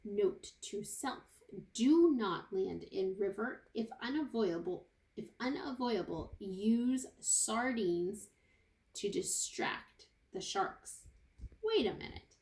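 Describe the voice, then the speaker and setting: A woman reading a children's storybook aloud like a kindergarten teacher, with expressive, deliberate phrasing for young listeners. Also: medium pitch. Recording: echoey room with faint noise.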